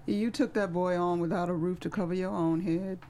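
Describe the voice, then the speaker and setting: A woman's voice: fairly low pitch, fairly even intonation, brisk, dark tone, a little hiss or hum, natural voice. A woman playing a chilly, wounded, tough bartender at a screenplay table read. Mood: cold, reproachful.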